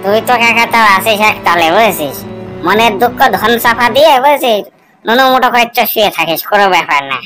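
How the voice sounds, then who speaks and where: A woman's voice: medium pitch, animated, fast talker, a bit bright, soft background music, close to an everyday voice, low-quality recording. A woman voicing a miserable, comically self-pitying married village man who complains in coarse slang, in a cartoon. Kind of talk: cartoon comedy dialogue.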